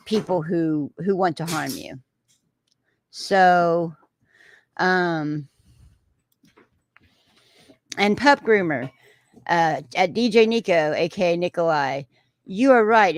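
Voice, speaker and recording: A woman's voice: lowish voice, dry recording. A woman with a Texan accent hosting a casual livestream, reading out viewer comments and reacting in a rambling way with many filler sounds and restarts.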